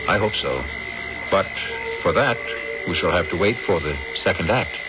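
A man's voice: low voice, animated, moderate pace, very noisy. A man hosting a radio drama with formal, theatrical, suspense-building scripted narration. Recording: muffled old radio recording, loud music behind.

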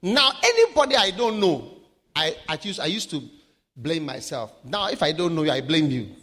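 A man from Africa preaching a sermon, swinging between shouted lines and low, quiet asides. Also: sing-song, moderate pace. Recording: live sermon with long hall reverb.

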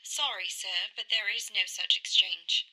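A woman playing a polite, brisk London telephone operator, formal and clipped, in a radio play. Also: mid-range voice, pushed and bright, low-quality recording. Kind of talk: old-time radio drama acting.

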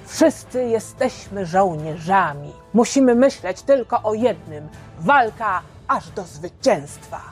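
Woman acting male wartime radio announcer, solemn, declamatory, slow measured phrases, resolute, audio story for children. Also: medium pitch, animated, faint music behind.

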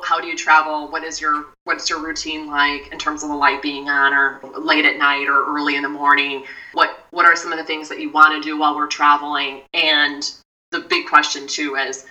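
Woman guest, low and bright, a little off-mic, measured advice-giver. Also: distant mic, highs cut off. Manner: measured, advising, with many "you know" asides. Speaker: mindfulness expert guest. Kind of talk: podcast conversation.

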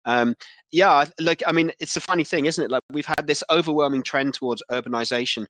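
A man hosting a casual interview, halting and repeating words as he forms his thoughts. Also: fairly high pitch, fairly bright tone. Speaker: podcast host.

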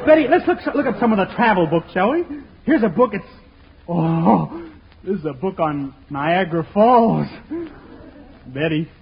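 A man's voice: high voice, expressive, normal speed, gravelly, a faint crowd. An actor playing a bashful, naive and goofy young man in 1940s radio comedy style, hesitant and stammering. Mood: flustered. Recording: noisy, dull 1940s radio recording.

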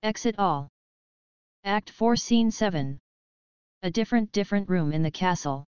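A woman's voice: medium pitch, animated, normal speed, darkish tone, smooth, dry recording, dull audio. A woman narrating, reading stage directions in a scripted style.

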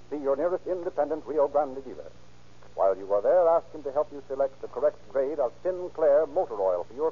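A man's voice: mid-range voice, breathy edge. An American man narrating and announcing in a formal, declamatory 1930s radio style. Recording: tinny, narrow 1930s radio recording.

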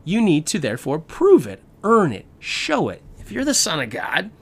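Taunting tempter spirit, voiced by a male preacher: snarky, critical, goading, raised pitch, sermon storytelling. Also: wildly swooping pitch, normal speed, husky, exaggerated voice. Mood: accusing.

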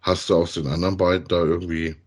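A man, chatting casually as a podcast co-host, thinking aloud haltingly and repeating himself. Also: low-pitched, little pitch movement, breathy edge. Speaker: podcast co-host reviewing audio dramas. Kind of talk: casual chat, reviewing audio plays.

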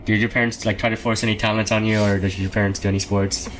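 A man's voice: lowish voice, level tone, quick pace, a bit bright. A young man chatting casually with friends, rambling with lots of fillers and frequent laughs. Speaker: friend in a group chat. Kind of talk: casual chat. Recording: noisy recording.

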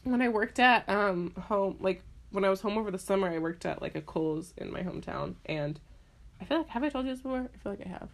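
A woman's voice: medium pitch, animated, brisk, creaky. A young woman co-hosting a podcast in casual chat. She rambles and fills her talk with "like" and self-mocking asides. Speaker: podcast co-host.

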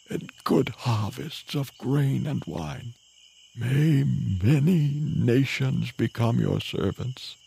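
An actor playing a frail, aged biblical father, solemn and halting, with a creaky voice, in an audio drama. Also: medium pitch, dark tone, full character voice. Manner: slow, halting, solemn delivery. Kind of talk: dramatized audio Bible acting.

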